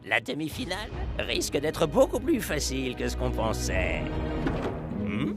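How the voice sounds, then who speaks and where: A man's voice: medium pitch, wildly swooping pitch, unhurried, over loud music. A man playing a sly, cocky young rival in a cartoon dub, with a mocking, drawling delivery. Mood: smug, sly. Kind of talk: animated series dub acting.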